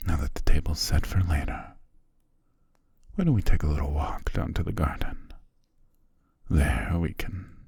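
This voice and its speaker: An immortal vampire lord, acted by a man, murmurs in a very breathy, husky half-whisper. His low voice drags slowly through each phrase. He is tender yet possessive, a dominant lover in a close, intimate ASMR fantasy roleplay. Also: no room sound, full character voice. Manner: slow, tender, softly commanding.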